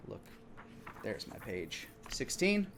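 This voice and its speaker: A man's voice: fairly low pitch, huge pitch swings, takes it slowly, noisy background. A man narrating a tabletop fantasy game as dungeon master, explaining things in a somewhat hesitant, improvised way.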